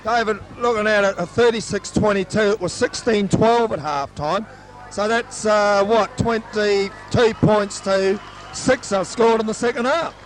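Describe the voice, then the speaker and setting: A hoarse man, excitedly calling a local rugby league game as play-by-play commentator. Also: high voice, unhurried. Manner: excitable, voice soaring on breaks. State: hoarse, losing his voice. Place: outdoor grandstand at a suburban oval. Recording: old amateur sports recording with crowd noise. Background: crowd noise.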